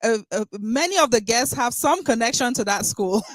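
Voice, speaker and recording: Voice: medium pitch, expressive, moderate pace, no echo. A podcast host talking casually and at length, with frequent restarts and light laughter. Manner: warm-hearted, rambling, with frequent restarts and laughs. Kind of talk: casual conversational interview.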